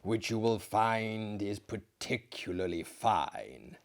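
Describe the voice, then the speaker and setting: Man as a genial, unhurried French host. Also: fairly low pitch, lively intonation, voice pushed down. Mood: genial hospitality. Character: genial, hospitable French asylum superintendent.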